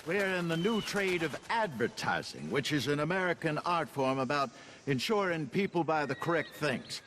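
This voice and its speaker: A man's voice: a little high, very animated, normal speed, fairly bright tone, a little hiss or hum. An actor playing a scheming male conman posing as a traveling advertising man, with a theatrical, coaxing manner, over game music. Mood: sly. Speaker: con artist.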